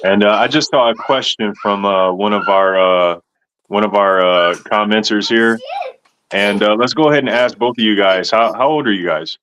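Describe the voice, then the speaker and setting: A man's voice: lowish voice, moderate pace, lo-fi sound, noisy background. A man in his thirties hosting a casual interview, laid-back and slangy, calling people man and bro. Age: 30s. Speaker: host.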